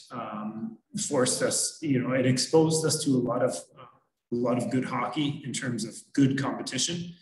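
Analytical coach on an echoey video call. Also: male voice, medium pitch. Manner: measured, analytical, frequent fillers. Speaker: hockey head coach. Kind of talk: postgame press conference answers. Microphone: video call webcam. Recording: roomy echo.

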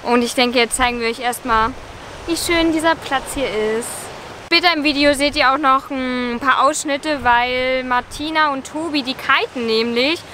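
A woman's voice: high-pitched, moderate pace, pushed and bright, slight background noise. A young woman chatting casually to the camera in a travel vlog, with frequent ums, recorded at arm's length outdoors and in a van. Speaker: vlogger. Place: outdoors by a beach, later inside a van.

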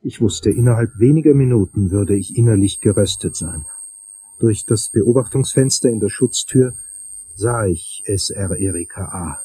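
An actor plays a hard-boiled male detective narrating a noir crime drama. His voice is lowish and dark, moving theatrically up and down. The delivery is deliberate and suspense-laden. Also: animated, slight background noise, lightly acted. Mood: suspenseful.

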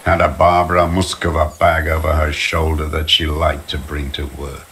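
An actor playing a bitter old communist deserter, slow and contemptuous, in a video game. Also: male voice, rumbling low, flat delivery, fairly bright tone, slight background noise. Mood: scornful. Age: older. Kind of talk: dramatic video game voice acting. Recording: music under the voice.

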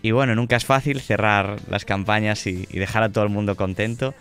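Man, game master, low voice, faint background music, stream closing thanks. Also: fairly even intonation, a little fast, a bit bright. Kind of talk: narrating and thanking players on a live stream.

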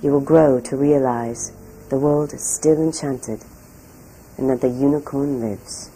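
A woman reading scripted documentary narration in a measured, solemn storytelling manner. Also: rumbling low, steady tone, mellow, slight background noise, poor audio. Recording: music behind. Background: background music.

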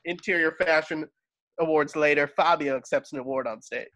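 A man's voice: on the high side, moderate pace, sharp tone. A man narrating stage directions for a script table read over a video call.

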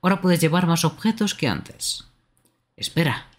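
A man's voice: on the high side, lively intonation, normal speed. A man streaming a video game, reading its text aloud and commenting on it. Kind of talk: live game dubbing and commentary. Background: game music.

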